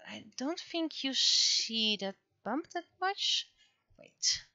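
Bright-voiced woman musing on a livestream. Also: high-pitched, expressive, slow, no room sound, lo-fi sound. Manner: musing, half to herself, trailing off. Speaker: livestreaming webcomic artist. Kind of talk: casual livestream chat while drawing.

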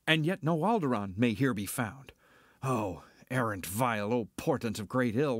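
An actor playing a cocky, skeptical smuggler pilot, declaiming theatrically in a Shakespearean dramatic reading. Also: male voice, medium pitch, dry recording, studio quality.

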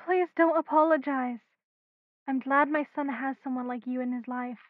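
Woman playing a high-voiced, doting queen mother. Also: fairly even intonation, moderate pace, no room sound, narrow, tinny audio, close to an everyday voice. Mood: gentle, kindly. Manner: affectionate, courteous. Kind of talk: audio drama acting.

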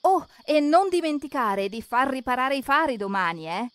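A woman's voice: high voice, lively intonation, normal speed, a bit bright, slight background noise. A woman narrating a children's cartoon, expressively asking questions and talking straight to the characters.

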